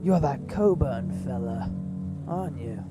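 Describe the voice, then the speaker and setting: A man's voice: medium pitch, animated, unhurried, close to an everyday voice. Man playing a sneering, swooping bully of an officer. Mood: menacing. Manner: mocking, menacing, drawn out. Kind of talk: audio drama acting. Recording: loud music over the voice.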